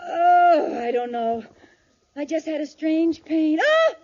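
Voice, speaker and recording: A woman's voice: sits high, big pitch swings, slow, full character voice. A woman playing a scheming housewife who feigns sickness with theatrical frailty in a 1950s radio sitcom. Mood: groaning in pain. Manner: theatrical, with exaggerated groans and put-on frailty. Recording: muffled old radio recording, audience, hiss.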